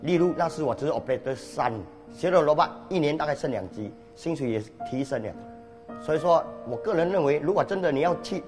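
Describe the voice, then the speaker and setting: A fifty-year-old factory worker talks quickly and earnestly, his voice a touch high. Clear music plays under his words throughout. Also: lively intonation. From Singapore. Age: 50s. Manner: plain, earnest talk. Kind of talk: documentary interview. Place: factory storeroom with shelves.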